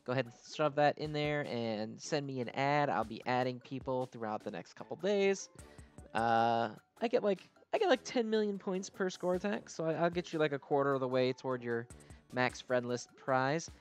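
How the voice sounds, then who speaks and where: A man's voice: medium pitch, expressive, moderate pace. A man hosting a gaming video with casual, slangy and self-deprecating gameplay commentary. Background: music.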